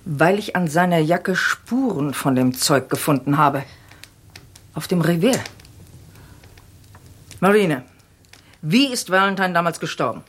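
Stern, probing middle-aged aunt. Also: female voice, fairly low pitch, animated, measured pace, pauses often, slightly rough. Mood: probing, insistent. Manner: probing, composed, pointed questioning. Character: stern, inquisitive aunt of a crime-drama household, probing and composed. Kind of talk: German radio crime play acting.

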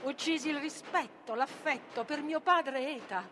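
A woman's voice: high voice, unhurried, over music. A woman playing a tormented sorceress bent on annihilation, declaiming a theatrical tragic monologue in a volatile manner. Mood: bitter, fierce. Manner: declamatory, volatile, swings from whispers to cries.

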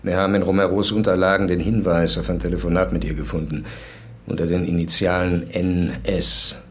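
An actor playing a terse, sober police chief of a drug squad in a German crime radio drama. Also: male voice, low-pitched, level tone, a little fast, slight background noise, close to an everyday voice. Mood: sober, determined. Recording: music behind the voice.

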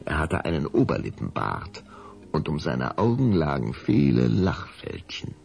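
A man's voice: a bass voice, sing-song, measured pace, vocal fry, a little mellow, soft background music, heavily acted. A man narrating a children's audio drama as its scripted storyteller. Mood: measured storytelling. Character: male storyteller narrating a children's vampire tale.

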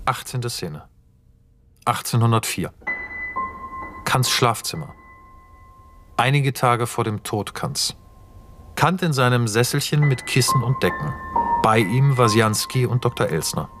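A man's voice: mid-range voice, animated, unhurried, long pauses, music in the background, natural voice. A man narrating scene and camera directions in a radio play, detached and scripted. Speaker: narrator reading screenplay directions.

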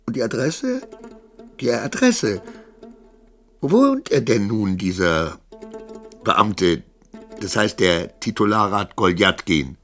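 An actor in a German radio play as a suspicious, agitated titular councillor, halting and repetitive, scolding and wheedling his servant. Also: fairly low pitch, big pitch swings, a bit bright, faint music behind, exaggerated voice. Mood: wheedling, urgent.

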